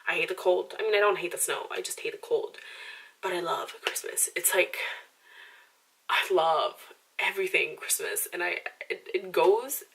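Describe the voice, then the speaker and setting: A woman's voice: fairly low pitch, moderate pace, a bit bright, slightly rough, recorded from a distance, rough recording. A woman in her twenties with a slight Romanian accent, chatting to camera in a rambling, self-deprecating way full of "like" and restarts. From Romanian background. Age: 20s. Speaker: vlogger. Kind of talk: casual chatty monologue to camera.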